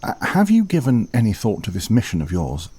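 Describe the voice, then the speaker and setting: An actor playing a dutiful older butler in an audio drama, formal, measured and dryly disapproving, with a husky voice. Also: male voice, fairly low pitch, huge pitch swings, mellow, exaggerated voice. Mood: pensive. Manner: formal, measured, politely dry.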